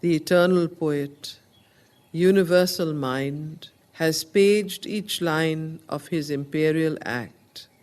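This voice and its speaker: A woman teaching a study circle, explaining in a deliberate, emphatic way and reciting poetry slowly. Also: sits low, a little mellow.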